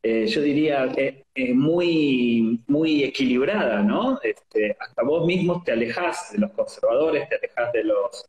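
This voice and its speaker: A man with an Argentine accent hosts a relaxed interview over a video call. His voice sits at an ordinary middle pitch, and the audio carries a noticeable room echo. He talks playfully, joking with his guest and leaning on filler words. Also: a little slow, hardly any pauses. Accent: Argentine Spanish. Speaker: host. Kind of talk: casual interview chat.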